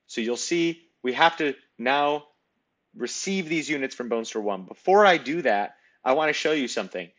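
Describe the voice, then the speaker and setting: Man walking through a software tutorial step by step. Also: medium pitch, lively intonation, normal speed. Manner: explanatory, step-by-step, conversational. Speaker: software demonstrator. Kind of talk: screen-recorded tutorial walkthrough.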